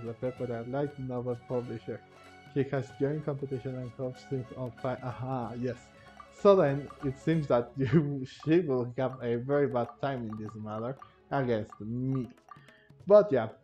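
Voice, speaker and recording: A man giving casual gameplay commentary as a video host, halting and searching for his words. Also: medium pitch, a little mellow. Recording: dull lo-fi mic, game music behind. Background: game music.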